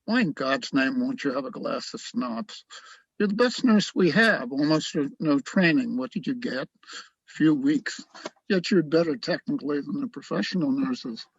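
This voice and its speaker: A man playing a tipsy, cynical army doctor in a radio play, blunt and weary, with a gravelly, husky voice. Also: fairly high pitch, expressive, moderate pace, dry recording, lo-fi sound, full character voice. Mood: dismissive, irritated. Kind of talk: dramatic radio play acting.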